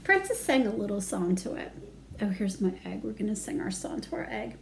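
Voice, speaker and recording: A woman's voice: mid-range voice, big pitch swings, a little fast, gravelly, slight background noise. A woman reading aloud to young children as a storytime educator, singsong, with simple chatty asides.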